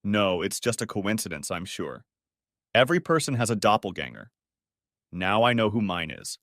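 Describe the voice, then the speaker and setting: A man's voice: fairly low pitch, sing-song, normal speed, a bit bright, no echo, studio quality. A man voicing a young husband who jokes and protests in first-person dialogue. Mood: tender. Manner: joking, protesting. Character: joking young husband and office worker.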